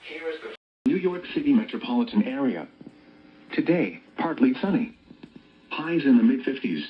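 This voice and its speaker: A man's synthetic-sounding voice reading a scripted public weather bulletin in a formal, measured announcer delivery over a radio. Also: a little high, very animated, far from the mic, lo-fi sound. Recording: noisy, echoey weather radio captured from off.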